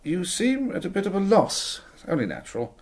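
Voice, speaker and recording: An actor playing a hearty, brisk naval lieutenant in a radio drama. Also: male voice, sits high, wildly swooping pitch, gravelly, exaggerated voice. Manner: hearty, clipped officer talk.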